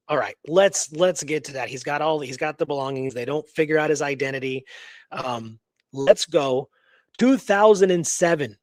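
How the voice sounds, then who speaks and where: A man's voice: fairly high pitch, no room sound. A man co-hosting a radio show, recounting history in a casual, enthusiastic, informal way.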